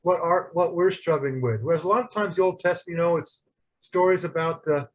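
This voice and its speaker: Halting man leading a Bible study. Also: fairly high pitch, slight background noise, low-quality recording. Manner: halting, many restarts and fillers. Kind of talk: casual group discussion.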